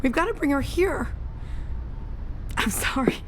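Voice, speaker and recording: A headstrong young woman in a naturalistic radio drama. Her voice sits fairly high, with a casual, insistent, emotional delivery. Faint noise lies behind her. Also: animated, a little slow, poor audio. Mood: distraught, pleading.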